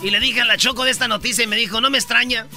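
A man co-hosting a comic radio show, animated and joking like an announcer. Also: extremely high pitch, very fast, gravelly, faint music behind.

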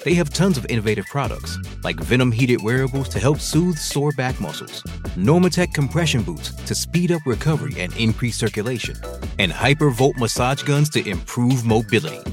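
A man giving a brisk, promotional scripted sponsor read. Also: medium pitch, animated. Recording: voice-over with music bed.

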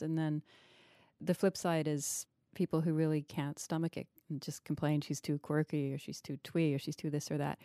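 A woman hosting a literary podcast, speaking in a measured, articulate and analytical way. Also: deep voice, warm tone, no room sound, studio quality. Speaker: podcast host, interviewer. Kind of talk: literary interview and podcast narration.

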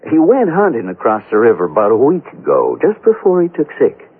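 An anxious frontier homesteader in a 1970s radio western. He is hesitant and earnest, with a husky voice that moves widely in pitch. The recording is thin and band-limited, like an old radio. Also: huge pitch swings, a little fast, phone-quality sound. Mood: uneasy. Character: soft-spoken, anxious homesteader protecting his sick twin brother.

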